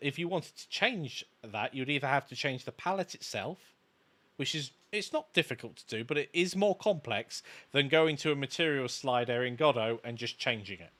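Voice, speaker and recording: A man co-hosting a casual live stream, explaining things in a halting way. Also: mid-range voice, sharp tone. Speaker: livestream co-host, game development course maker.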